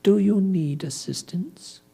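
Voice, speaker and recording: Storybook mouse, played by a man: tiny, soft, unhurried, careful and quietly self-possessed. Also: high-pitched, gravelly, breathy edge, pitched above the performer's own voice, full character voice. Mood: calm, helpful. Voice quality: small, soft voice.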